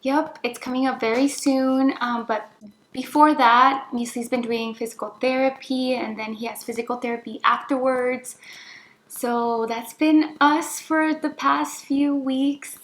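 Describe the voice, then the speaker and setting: A woman's voice: high-pitched, steady tone, a little slow. A woman co-hosting a vlog, chatting casually and reassuringly as she shares a personal story. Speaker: vlogger, co-host with husband. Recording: roomy echo, music playing behind.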